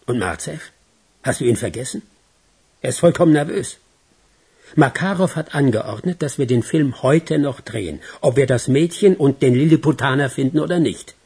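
A man's voice: mid-range voice, normal speed, dark tone, slightly rough. A man playing a wary, businesslike criminal in a radio crime drama, terse and insistent. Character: wary, businesslike male criminal urging his partner on. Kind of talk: German radio play acting.

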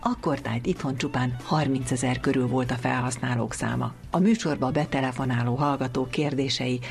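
A woman's voice: rumbling low, fairly even intonation, gravelly, darkish tone. A woman hosting a radio programme, reading scripted narration. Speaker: radio host. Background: music behind the voice.

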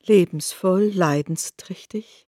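A woman narrating a literary radio drama, measured and expressive. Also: fairly low pitch, dry recording, clean studio sound.

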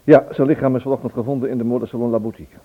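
Guy acting brisk impatient police inspector, husky fast voice, muffled old radio play. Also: medium pitch, steady tone, lightly acted. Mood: grave. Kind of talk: Dutch radio drama acting.

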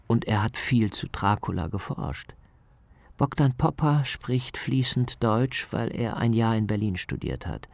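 A man's voice: lowish voice, lively intonation, measured pace, gravelly, darkish tone, no echo. A man narrating a radio documentary from a script, as its author telling the story.

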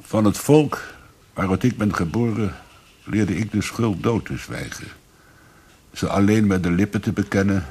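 An actor playing a grave, probing police investigator in a radio drama, speaking in a measured, deliberate way. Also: male voice, low voice, a little mellow, breathy edge, exaggerated voice.

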